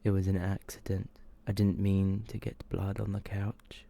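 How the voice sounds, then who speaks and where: A man softly whispering and murmuring as he reads in an ASMR style, hushed and drawn out, close to the microphone. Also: sits low, flat delivery, creaky. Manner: hushed, slow, drawn-out delivery. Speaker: ASMR video host reading short horror stories.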